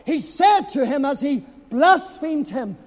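Male preacher, slow and emphatic, hushed-to-thundering evangelistic delivery, long echo of a big hall. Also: very high-pitched, animated. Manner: solemn, emphatic, repeats phrases for effect; swings from hushed to loud, impassioned delivery. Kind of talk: fervent evangelistic sermon.

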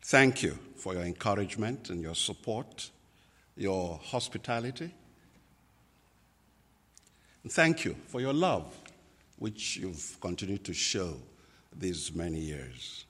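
A man from Nigeria, a native Igbo speaker with a Nigerian accent, preaching a sermon as a pastor, slow and deliberate with many pauses and fillers. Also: deep voice, big pitch swings, a bit bright, husky, long reverb tail.

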